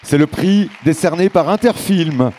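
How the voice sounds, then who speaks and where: Mellow-voiced man addressing a ceremony. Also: on the high side, lively intonation, unhurried. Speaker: festival director presenting awards. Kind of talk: live stage address at an award ceremony.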